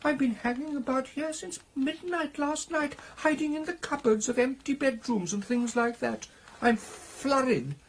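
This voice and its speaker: High, meek young ghost. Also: male voice, a little hiss or hum. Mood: flustered, abashed. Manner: meek, halting, deferential. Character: timid, flustered young male ghost, weak and apologetic.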